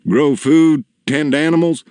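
A man's voice: a little high, a little slow, warm tone, dry recording, studio quality, voice pushed up, natural voice. A man voicing a small, brave storybook villager who is earnest and persuasive. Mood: gentle, encouraging. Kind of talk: storybook character voice.

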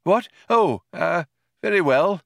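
A man's voice: a little high, sing-song, slow pace, pushed and bright, dry recording, studio quality, pitched above the performer's own voice, heavily acted. A man voicing a polite, fussy old man in a storybook, proud and easily affronted. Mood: flustered. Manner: hesitant, courteous, indignantly lofty.

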